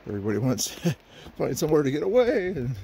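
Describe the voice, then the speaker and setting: A man's voice: fairly high pitch, sing-song, fast talker, creaky, dark tone, a little hiss or hum. A middle-aged man with a sniffly voice, chatting easygoing to his phone camera as he hikes a forest trail. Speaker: hiker vlogger. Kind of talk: casual rambling hike narration.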